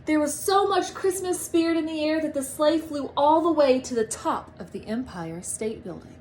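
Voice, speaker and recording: A woman reading a children's storybook aloud in an expressive, emphatic manner. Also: high voice, normal speed, a little hiss or hum. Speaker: storybook reader, teacher.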